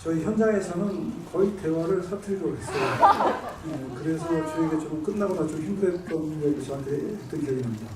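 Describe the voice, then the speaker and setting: Male actor from North Gyeongsang, chatty and amused, higher voice, echoey distant hall sound. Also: lively intonation, brisk, over a noisy crowd, heavy background noise. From North Gyeongsang, South Korea. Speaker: film actor at an audience Q&A.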